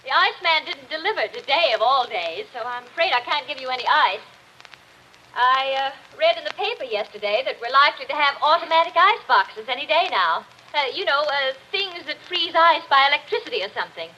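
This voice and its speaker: A woman's voice: high voice, animated, brisk, sharp tone. A woman playing a jealous married woman, brittle, arch and genteel, hiding hurt behind sarcasm in a 1940s radio drama. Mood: nervously chatty. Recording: noisy, dull 1940s radio recording.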